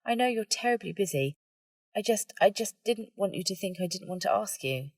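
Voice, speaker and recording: Woman narrator as a young witch, higher-pitched, frank, nosy, informal, audiobook dialogue. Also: lively intonation, fairly quick, darkish tone, breathy edge, no room sound. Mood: awkward, hesitant. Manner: informal, hesitant yet blunt.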